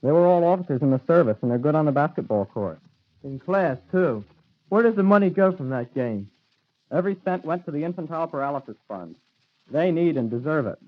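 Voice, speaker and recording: A teenage American student reporter reading scripted news stiffly on an old lo-fi 1940s radio broadcast. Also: male voice, fairly high pitch, lively intonation, brisk. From United States. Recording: dull lo-fi 1940s radio recording.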